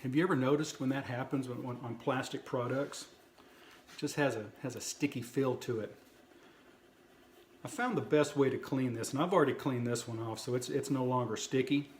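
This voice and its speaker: A man talks casually to his viewers as a video host, folksy and plainspoken. His voice sits at an average pitch. The recording is clearly roomy and echoing, with slight noise behind him. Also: steady tone, normal speed. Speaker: host of a prepper video channel. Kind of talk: casual product review talk to viewers. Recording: roomy echo, light background noise.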